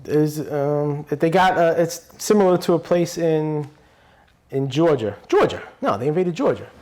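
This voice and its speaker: A man's voice: a little high, lively intonation, measured pace, darkish tone. Blunt New man telling war stories. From Brooklyn, New York. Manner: storytelling, blunt and loose, with frequent restarts. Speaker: podcast guest, retired police officer. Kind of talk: casual interview conversation.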